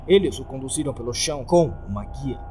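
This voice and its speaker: A man's voice: mid-range voice, lively intonation, brisk, mellow. A man with a Brazilian accent reads a scripted horror story as a dramatic narrator. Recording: faint background noise.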